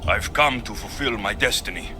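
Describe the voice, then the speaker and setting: A man's voice: on the low side, moderate pace, lightly acted. An actor playing a wary, resentful Greek warrior in a video game, blunt and questioning in his delivery. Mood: demanding. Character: adult Greek male warrior, proud, wary, resentful son. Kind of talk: video game cutscene acting. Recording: music under the dialogue.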